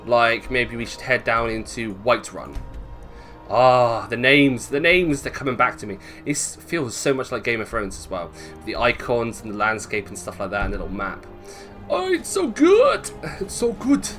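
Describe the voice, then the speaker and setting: A man's voice: mid-range voice, big pitch swings, normal speed, fairly bright tone, soft background music. A man giving casual, chatty gameplay commentary, thinking aloud playfully and swearing freely. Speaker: gaming video host.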